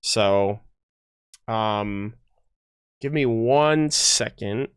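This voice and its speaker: A man's voice: lowish voice, smooth, dry recording. Man streaming casually, dry close mic. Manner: halting, rambling, thinking aloud. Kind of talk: casual livestream commentary. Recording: music playing behind voice. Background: music.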